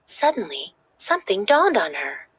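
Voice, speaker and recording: A woman narrating a children's story in an expressive, dramatic read-aloud style. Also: medium pitch, moderate pace, breathy edge, low-quality recording.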